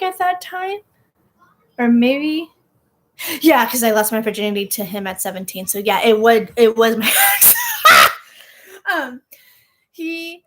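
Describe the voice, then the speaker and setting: A young woman in her twenties telling a personal story in casual chat, animated, laughing and going off on tangents. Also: fairly high pitch. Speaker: vlogger.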